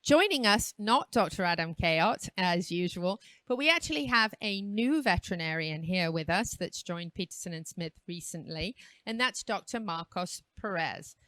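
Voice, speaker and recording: A woman's voice: fairly low pitch, expressive, moderate pace, hardly any pauses, a bit bright, no echo. A woman hosting a talk show, chatting with a guest and asking open questions. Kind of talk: interviewing a guest. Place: equine hospital.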